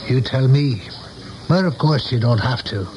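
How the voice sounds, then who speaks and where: An actor in a 1970s radio play, playing an older saloon keeper who is folksy, shrewd and philosophical with a loyal friend. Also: male voice, mid-range voice, expressive, a little slow, a little mellow, slight background noise, natural voice. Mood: folksy, probing. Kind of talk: 1970s radio drama acting. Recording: dull vintage radio broadcast, music behind.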